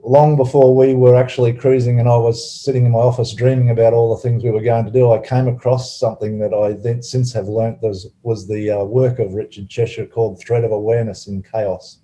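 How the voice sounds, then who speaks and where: A man hosting an online webinar over a video call, relaxed and hesitant with many fillers. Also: mid-range voice, brisk, hardly any pauses, low-quality recording. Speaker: webinar host.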